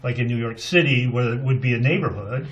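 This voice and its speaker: A man co-hosting a talk show, supportive, speaking in halting, restarted sentences. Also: mid-range voice, lively intonation, highs cut off. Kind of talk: casual interview chat.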